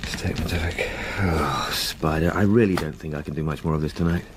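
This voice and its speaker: An actor playing a courteous, earnest young English solicitor in a period gothic radio drama, well-spoken and polite. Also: male voice, a bass voice, fairly even intonation, brisk, heavy background noise. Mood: weary, musing. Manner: polite, well-spoken, talks fondly to a dog.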